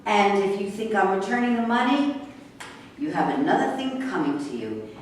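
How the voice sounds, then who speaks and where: A woman reading the part of a defiant, sharp-tongued racehorse trainer in a staged reading, combative and sarcastic. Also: medium pitch, lively intonation, normal speed, natural voice. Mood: defiant, indignant. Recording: echoey room, distant mic, faint noise.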